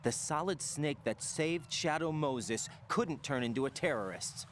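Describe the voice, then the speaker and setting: A man's voice: on the high side, lively intonation, measured pace, fairly bright tone, a little hiss or hum. An actor playing an earnest, inquisitive young male operative in a video game cutscene.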